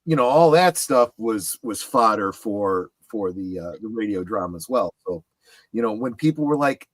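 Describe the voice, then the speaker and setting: A middle-aged man chatting casually on a fan discussion show, halting yet emphatic as he explains things in detail. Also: lowish voice, lively intonation, no echo. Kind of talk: casual chat about films and memorabilia.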